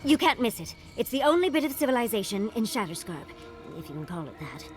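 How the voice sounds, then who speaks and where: Tiny chatty know-it-all scholar in a video game, high voice, super lively, theatrical. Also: female voice, fast talker, soft background music. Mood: urgent. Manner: theatrical, breezy. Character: tiny, eager, chatty female scholar, self-assured. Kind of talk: scripted video game dialogue.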